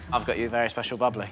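A young man hosting a playful party night in a decorated bar, joking and laughing at his own lines. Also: mid-range voice. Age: young adult. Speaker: radio host. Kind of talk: playful party chat with karaoke. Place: decorated bar with tinsel curtains, indoors.

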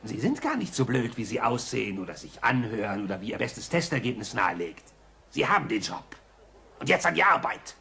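An actor playing a scheming, imperious old cartoon tycoon with a raspy voice, oily and sardonic, in a German-dubbed audio play. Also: male voice, medium pitch, very animated, brisk, bright, forceful tone, a little hiss or hum, exaggerated voice.

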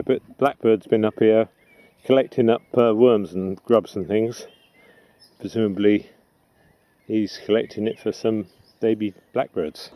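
A middle-aged man giving a casual, unhurried, matter-of-fact gardening vlog demonstration in a rambling way. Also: medium pitch. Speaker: allotment gardener presenting own video. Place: outdoors on an open allotment plot. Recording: outdoor background noise with birdsong.

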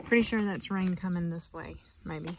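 A woman's voice: on the low side, normal speed, a little mellow. A woman in her forties vlogging casually about the farm, chatty and often laughing, filmed on a phone held at arm's length in a truck and out in the fields. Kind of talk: casual vlog narration. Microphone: phone camera held at arm's length. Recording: noisy outdoor phone recording. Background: truck and outdoor ambience.

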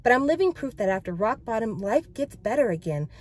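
A woman's voice: on the high side, big pitch swings, a little fast, pitched above the performer's own voice. A woman voicing a resilient, brashly confident young female pop idol in a book read-aloud, frank with some jokey bravado. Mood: encouraging.

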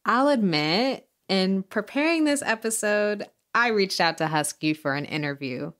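Woman acting slow, solemn documentary narrator. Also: medium pitch, animated, fairly bright tone. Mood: sombre. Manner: measured, solemn. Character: solemn female documentary podcast host. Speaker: host and narrator. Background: music.

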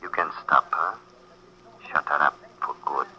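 Very deep, sinister tempting voice. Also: male voice, measured pace. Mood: sinister urging. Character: sinister tempting inner voice urging murder. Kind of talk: old-time radio acting. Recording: dull, noisy old radio recording.

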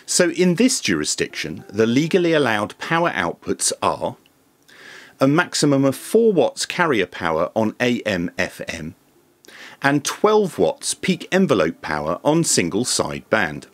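A man presents a scripted explainer to camera in a measured, formal, methodical way. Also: medium pitch, very animated. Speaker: video presenter and radio reviewer. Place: small indoor home studio room.

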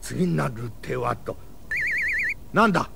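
An actor playing an irascible, vengeful middle-aged villain doctor with a pompous, menacing manner in an audio drama. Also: male voice, medium pitch, expressive, slow pace, vocal fry, background noise, exaggerated voice. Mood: commanding, scheming. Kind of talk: Japanese audio drama acting.

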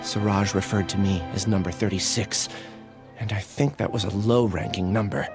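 A man's voice: on the low side, steady tone, normal speed, lightly acted. An actor playing an earnest, apologetic young male scholar in a game. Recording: game audio with music.

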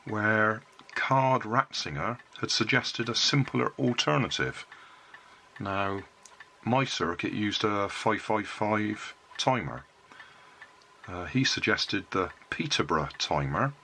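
Older guy with a cold for a bench tutorial, low-ish bright voice, patient explainer. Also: slight background noise. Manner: calm step-by-step explaining. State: has a cold. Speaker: electronics hobbyist. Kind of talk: casual workbench demonstration. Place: indoor hobby workbench.

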